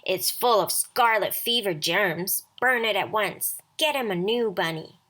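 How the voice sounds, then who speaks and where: A woman's voice: medium pitch, animated, unhurried, bright, forceful tone. A woman voicing a brusque, dismissive male doctor with a curt, commanding manner in a storybook reading. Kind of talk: children's storybook character reading.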